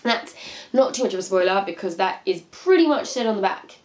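A woman's voice: mid-range voice, expressive, fairly quick, darkish tone, lo-fi sound. A young woman in her twenties with a sniffly cold, rambling casually through a book review in her living room. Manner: rambling, chatty, many fillers and restarts. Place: living room by a window seat, indoors.

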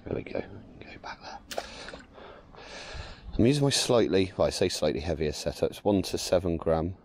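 Male angler, low warm voice, easygoing talk to camera outdoors. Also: normal speed, a little hiss or hum. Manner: relaxed, chatty, explains his tackle. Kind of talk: casual fishing commentary. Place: canal bank outdoors.

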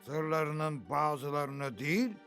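A man voicing a sly, spiteful gossiping salt-shaker monster in a cartoon dub, with a sneering, drawn-out delivery. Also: on the high side, gravelly, fairly bright tone, soft background music, heavily acted. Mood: taunting. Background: cartoon score.

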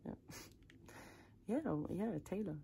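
Low mellow woman doing rambly asmr roleplay, lots of vocal fry, faint hiss behind. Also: measured pace. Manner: rambling, improvised, chaotic chatter. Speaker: ASMR creator playing a tailor. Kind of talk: ASMR personal attention role play.

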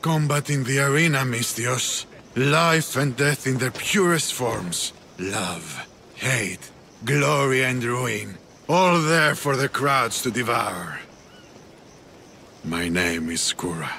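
An actor playing a weary, grand arena master in a video game, speaking in a hushed, brooding, theatrical way. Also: male voice, medium pitch, animated, slow pace, vocal fry, slight background noise, full character voice. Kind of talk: video game cutscene acting.

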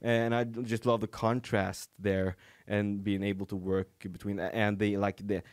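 Young man, Norwegian native speaker, halting with fillers, dry close studio mic. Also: lowish voice, level tone, no echo. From rural Norway. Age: young adult. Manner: hesitant, many fillers and restarts. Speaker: podcast guest, comedian. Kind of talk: casual chat. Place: small studio room. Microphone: studio mic on boom arm, headphones.

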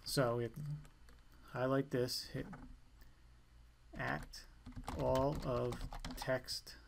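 A man giving an informal step-by-step software tutorial in a plain, instructional way. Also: medium pitch, level tone, very slow, vocal fry, slight background noise. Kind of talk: step-by-step screencast walkthrough, informal.

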